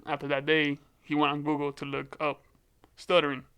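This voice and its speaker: A man's voice: a little high, monotone, normal speed, a bit bright, clear, resonant tone. A young man with a stutter telling stories as a guest in a casual podcast chat, often repeating words.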